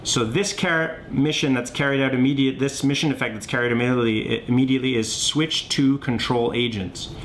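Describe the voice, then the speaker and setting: A man's voice: medium pitch, fairly even intonation, fairly bright tone. Man explaining game rules, noisy home recording. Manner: thinks aloud, halting, often adds "okay?". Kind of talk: informal board game tutorial. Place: home kitchen. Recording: noisy home camera audio with room ambience.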